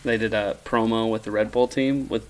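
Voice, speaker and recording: A man's voice: on the low side, steady tone, brisk. A man co-hosting a podcast in casual, opinionated group chat, with some swearing. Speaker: podcast co-host.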